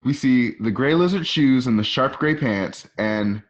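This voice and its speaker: A man's voice: mid-range voice, animated, measured pace. Dull-sounding male narrator reading stage directions. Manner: stumbles and corrects himself while reading. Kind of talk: scripted narration for an online radio drama. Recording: dull lo-fi home recording.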